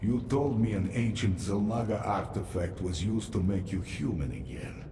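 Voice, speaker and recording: A man playing a grave, earnest ally in a science-fiction game, with dramatic, deliberate phrasing. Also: fairly low pitch, natural voice. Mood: grave pondering. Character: grave male ally, urgent and thoughtful, sci-fi game. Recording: echoey, distant, loud noise behind.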